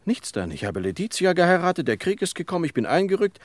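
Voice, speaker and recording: An actor playing an irritated, bewildered middle-aged husband, curt and impatient, in a German radio drama. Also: male voice, sits high, big pitch swings, fast, dry recording.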